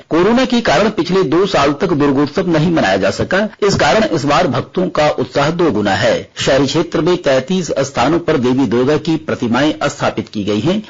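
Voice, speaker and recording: Male news correspondent, raspy voice, reading a scripted report over muffled radio sound. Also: a little high.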